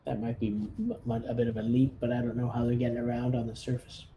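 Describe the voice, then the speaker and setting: A man chatting casually as a player in a tabletop roleplaying game, thinking out loud in a tentative way. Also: medium pitch, little pitch movement, fast talker, a little hiss or hum.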